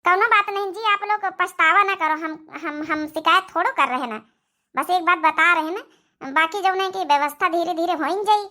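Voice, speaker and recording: A woman voicing an Indian village family woman in a cartoon comedy drama, with an Awadhi accent and expressive rustic speech. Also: extremely high pitch, moderate pace. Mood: cheerful, accommodating. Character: village women of an Indian family: mothers-in-law and young daughter-in-law.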